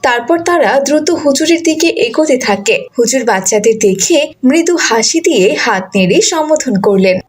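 A woman's voice: a little high, lively intonation, brisk, hardly any pauses, breathy edge. A woman reading scripted narration for a children's story.

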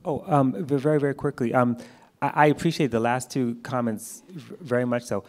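A man's voice: medium pitch, fairly even intonation, brisk, darkish tone. A middle-aged man responding as a panelist, explanatory and emphatic, into a handheld microphone. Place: theatre stage. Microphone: handheld microphone held close.

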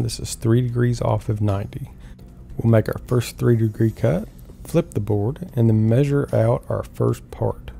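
A middle-aged man calmly explaining woodworking steps one at a time in an instructional voice-over. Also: lowish voice, creaky, a little hiss or hum. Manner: calm, step-by-step explaining. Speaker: woodworking host.

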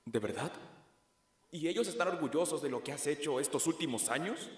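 An actor with a Latin American Spanish accent playing a calm, sarcastic young male interrogator in an animated drama fandub, conversational and wry. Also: fairly high pitch, fairly quick, lightly acted. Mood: wry, probing. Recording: echoey hall reverb.

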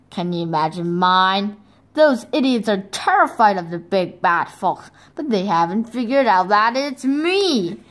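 A woman's voice: on the high side, fairly bright tone. A woman voicing a timid male fox who wants to seem scary, with a whiny, pleading way of talking. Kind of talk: storybook read-aloud.

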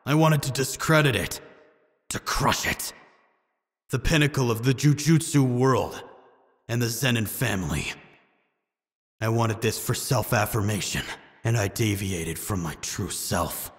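A man's voice: medium pitch, a little slow, long pauses, big-room sound, lightly acted. A man voicing a hardened, proud assassin in an anime fan dub, speaking in a grim, restrained manner. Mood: grim, resigned. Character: hardened adult male assassin, proud, brooding, cynical. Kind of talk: anime manga fan dub, inner monologue.

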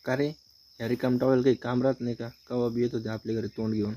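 A man's voice: medium pitch, flat delivery, fast talker, warm tone, slight background noise, lightly acted. A man voicing a short-tempered bald village father in a cartoon, scolding and threatening in a Vidarbha Marathi accent.

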